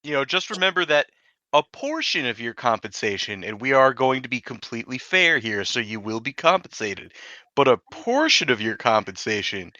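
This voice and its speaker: A man's voice: mid-range voice, big pitch swings, moderate pace, bright, forceful tone, no room sound, muffled recording. A man talking casually as a player in a tabletop game.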